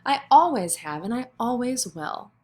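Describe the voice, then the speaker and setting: Slow, tender mother koala, read by a woman. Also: on the high side, sing-song, a little mellow.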